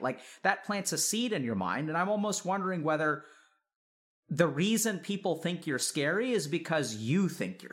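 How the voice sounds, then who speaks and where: Reflective, probing man with a slightly higher voice. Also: a bit bright. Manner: reflective, probing, builds points step by step with questions. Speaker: interviewer and counselor. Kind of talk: conversational counseling interview.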